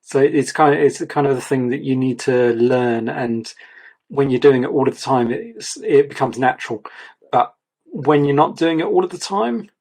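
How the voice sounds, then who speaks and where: Guy on a video call, rambly advice, bit croaky, keeps repeating himself. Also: mid-range voice, moderate pace, a little mellow. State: voice going croaky. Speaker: portfolio reviewer. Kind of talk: casual mentoring chat.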